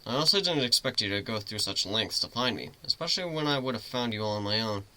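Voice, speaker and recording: A man playing a mysterious, all-knowing human in a story reading, speaking in a measured, self-assured and quiet way. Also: on the low side, expressive, low-quality recording. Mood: smug, calm.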